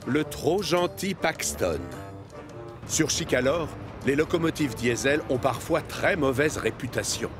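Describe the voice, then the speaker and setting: A man's voice: fairly high pitch, a little slow, close to an everyday voice. Man as genial storyteller guy narrating a kids train cartoon, music behind him. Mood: genial storytelling. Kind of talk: scripted children's cartoon narration.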